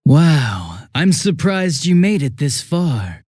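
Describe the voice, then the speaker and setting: A smug, swooping villain. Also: male voice, fairly high pitch, sing-song, takes it slowly, a little mellow, husky, dry recording, studio quality, heavily acted. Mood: sneering. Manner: sarcastic, taunting, theatrical swings between bored drawl and giddy mockery. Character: smug, mocking young male detective turned murderous villain. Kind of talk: video game voice acting.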